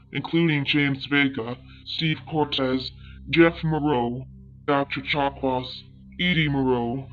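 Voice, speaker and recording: A thin, phone-like transmission over music carries the voice of a formal alien chronicler played by a man. He reports galactic affairs in measured, declarative phrases at a medium pitch, with a hopeful outlook suited to a sci-fi audio story. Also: close to an everyday voice. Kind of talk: scripted epilogue narration in sci-fi audio fiction.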